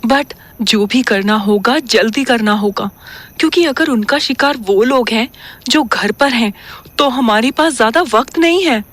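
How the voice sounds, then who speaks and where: A woman's voice: a little high, animated, a little slow, a bit bright. A woman playing an earnest young woman caught in a haunting, in a horror audio drama. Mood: uneasy, urgent.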